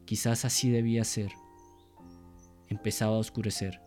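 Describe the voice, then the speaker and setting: A man narrating a literary radio drama in a measured, solemn, descriptive manner. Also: lowish voice, flat delivery, darkish tone, faint music behind.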